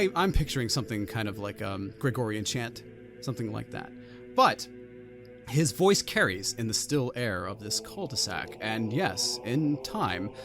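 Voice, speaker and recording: A man narrating a tabletop fantasy game as game master. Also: fairly low pitch.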